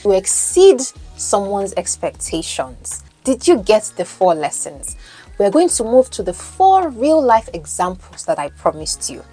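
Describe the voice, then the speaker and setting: A woman's voice: medium pitch, sing-song, unhurried, mellow, breathy edge, faint music behind, poor audio. A Nigerian woman whose first language is Yoruba, teaching English as a coach and podcast host, explaining and asking the listener questions. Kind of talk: teaching lesson, mixing in Pidgin.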